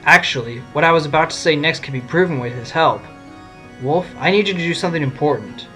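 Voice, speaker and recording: A man's voice: a little high, lively intonation, fast talker, sharp tone, soft background music. A man playing an assured, wry fox defense lawyer, explaining things in an audio drama. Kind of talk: amateur audio drama acting.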